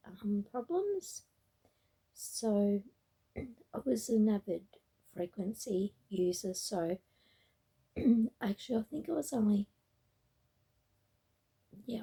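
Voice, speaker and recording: A tired woman with a raspy voice, rambling casually in a late-night crochet vlog, full of ums and restarts. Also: mid-range voice, warm tone. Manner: meandering, with frequent ums and restarts. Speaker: crochet vlogger. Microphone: tablet camera.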